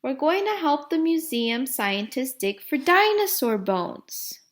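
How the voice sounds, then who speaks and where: A woman's voice: high voice, sing-song, slow, exaggerated voice. A woman voicing an enthusiastic, friendly man from a children's storybook. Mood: excited. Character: eager friendly man, storybook monkey's companion.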